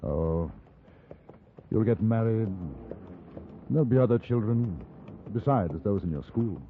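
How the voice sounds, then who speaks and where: British gentleman correspondent, muffled old radio. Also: lowish voice, lively intonation, a little slow, slight background noise. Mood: gentle, reserved. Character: gentlemanly British frontier newspaper correspondent, measured and courteous. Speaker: lead actor and narrator. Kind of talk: 1950s radio drama acting and narration. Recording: muffled 1950s radio recording, music behind.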